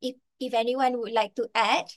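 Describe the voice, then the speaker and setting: High-voiced Malaysian woman, thoughtful. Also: steady tone, normal speed. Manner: thoughtful, frequent fillers and tag questions. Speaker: podcast panelist. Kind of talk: opinion discussion.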